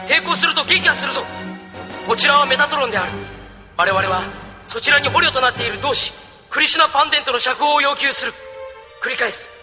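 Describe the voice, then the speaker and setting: A man's voice: extremely high pitch, a little fast, long pauses, bright, forceful tone, soft background music, exaggerated voice. A man playing a headstrong young mech pilot in an anime audio drama. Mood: demanding. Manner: defiant, headstrong.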